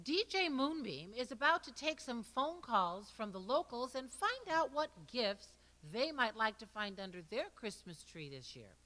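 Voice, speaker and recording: A woman's voice: on the high side, very animated, normal speed, few pauses, bright, forceful tone, in a large hall. A woman presenting a holiday puppet show to introduce the next part, with piano music behind her.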